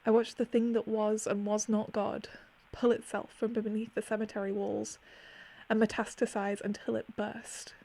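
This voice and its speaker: A woman's voice: mid-range voice, level tone, normal speed, vocal fry, mellow. A woman playing a haunted narrator of eerie memories, reciting a poetic monologue in a solemn, incantatory way. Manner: solemn, incantatory, repetitive phrasing.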